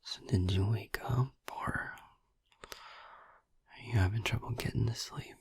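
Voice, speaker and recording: A man playing a gentle, devoted vampire boyfriend in an intimate ASMR roleplay, whispering breathily and soothingly with long pauses. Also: on the low side, husky, no echo, heavily acted. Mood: tender, soothing.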